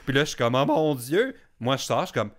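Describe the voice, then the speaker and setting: A man with a Quebec French accent, hosting a casual podcast and telling stories in an animated way. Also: medium pitch, fast, very noisy. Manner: animated storyteller, laughs often. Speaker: podcast host.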